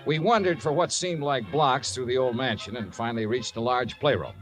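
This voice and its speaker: An actor playing a skeptical American insurance investigator in a 1950s radio drama, with dry, wry delivery. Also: male voice, medium pitch, brisk, natural voice. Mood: wry narration. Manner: dry, wry, hesitant when doubtful. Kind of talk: 1950s radio drama, hard-boiled narration. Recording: old radio broadcast, faint music.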